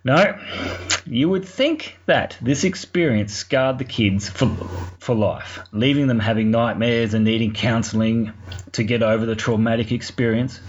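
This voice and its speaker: A man with an Australian accent telling a story informally for a podcast, in a colloquial, sweary, wry way. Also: medium pitch. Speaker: podcast segment contributor. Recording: muffled lo-fi recording, clear background noise.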